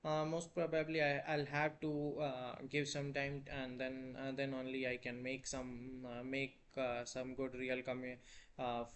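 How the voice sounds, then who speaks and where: A man's voice: medium pitch, completely flat delivery, measured pace. A young man from India with an Indian English accent, hesitantly walking through an app with many fillers and restarts. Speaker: vlogger. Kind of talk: unscripted app walkthrough. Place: small indoor room.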